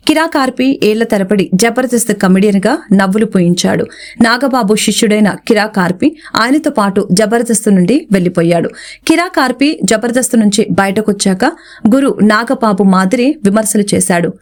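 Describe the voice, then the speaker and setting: Woman doing scripted celeb news narration, medium pitch voice. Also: animated, moderate pace.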